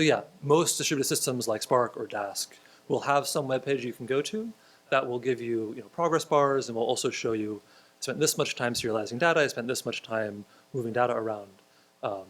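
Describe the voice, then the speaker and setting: A man teaching a conference programming tutorial, explaining step by step and repeating audience questions aloud. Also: medium pitch. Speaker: tutorial instructor. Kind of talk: live technical tutorial, answering questions.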